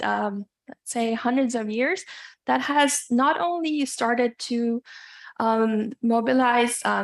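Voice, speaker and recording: Woman from iran doing an academic talk, highish voice w lots of vocal fry, kinda hesitant. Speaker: academic guest speaker. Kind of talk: academic lecture with slides.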